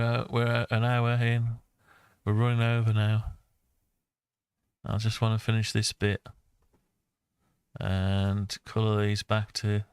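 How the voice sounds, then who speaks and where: Slow, low-pitched man with creaky voice. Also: no room sound. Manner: muttering to himself, talking through each step. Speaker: host of a CAD design tutorial. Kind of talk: casual think-aloud screen-share tutorial.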